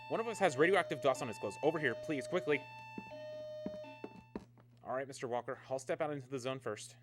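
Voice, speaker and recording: An actor in a radio drama playing a businesslike security officer, clipped and matter-of-fact. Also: male voice, mid-range voice, a little fast, loud music behind the voice, lightly acted. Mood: urgent. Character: businesslike male security officer at atomic research camp.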